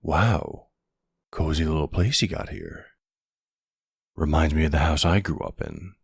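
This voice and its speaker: A man playing a smooth, flirtatious, middle-aged mafia boss in an ASMR roleplay, courteous and teasing, with a husky, gravelly voice. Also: rumbling low, heavily acted. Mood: warm, flirtatious.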